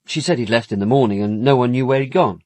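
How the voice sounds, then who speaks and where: A man's voice: mid-range voice, fast talker, darkish tone, dry recording, natural voice. An actor playing an effusive, evasive young man with an English accent in a radio drama, glib and casual in manner. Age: young adult. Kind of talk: British radio drama dialogue.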